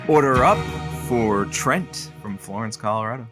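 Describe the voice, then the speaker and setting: A woman's voice: extremely low pitch, a little slow, over music. A woman playing a wry, teasing radio producer sidekick in an audio comedy, droll and mock-grand.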